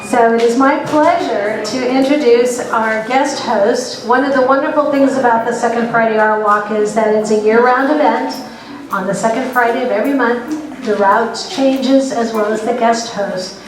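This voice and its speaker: A woman presenting an event, reading a formal introduction of the guest in a measured tone inside a museum gallery. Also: on the high side, distant mic. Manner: measured, reads a list of credits. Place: large indoor museum gallery. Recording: echoey hall, voice from afar.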